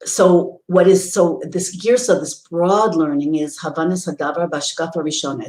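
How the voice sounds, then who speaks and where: A woman with a low voice gives an earnest spiritual lesson, explaining ideas step by step and restarting phrases as she goes. The room around her rings with echo. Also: a little mellow. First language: English. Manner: earnest, explanatory, with many restarts and Hebrew readings. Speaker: teacher. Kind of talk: spiritual teaching talk.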